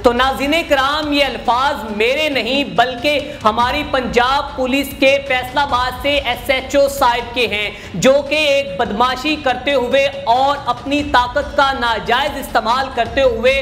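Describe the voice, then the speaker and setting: A woman with a Pakistani accent gives news commentary in a high-pitched voice. She is indignant and admonishing, putting rhetorical challenges to those she addresses. The recording is echoey, with faint noise behind it. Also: fairly even intonation, measured pace, few pauses, fairly bright tone. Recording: roomy echo, faint background noise.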